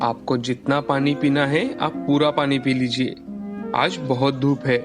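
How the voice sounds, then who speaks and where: A man's voice: mid-range voice, steady tone, unhurried, music in the background, close to an everyday voice. A man voicing a kind, humble and honest street vendor in a moral story, speaking politely and earnestly. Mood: caring. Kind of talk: dramatized Hindi moral storytelling.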